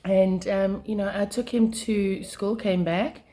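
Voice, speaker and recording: A South African woman venting about her drive, with frequent throat clearing as she gets over a sinus attack. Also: mid-range voice, steady tone, takes it slowly, dark tone. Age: adult mother of a schoolchild. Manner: frustrated, passionate, many fillers. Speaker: vlogger. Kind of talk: casual to-camera rant.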